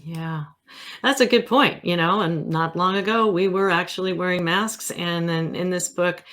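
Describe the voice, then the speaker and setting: A woman's voice: fairly low pitch, steady tone, moderate pace, fairly bright tone. Informal, playful woman hosting a chat. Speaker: interview show host. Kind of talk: casual chat.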